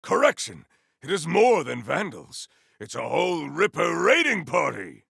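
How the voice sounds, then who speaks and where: A man playing a proud, stern warrior in a video game, speaking formally and theatrically in grandiose declarations with a gravelly edge. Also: on the high side, very animated, measured pace, a bit bright, no echo, clean studio sound, full character voice. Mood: irritated, urgent. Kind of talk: video game character voice lines.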